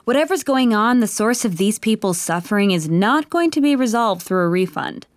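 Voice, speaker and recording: A woman's voice: mid-range voice, lively intonation, normal speed, no echo, clean studio sound. A woman reading scripted narration for an audio comedy drama. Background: clear music.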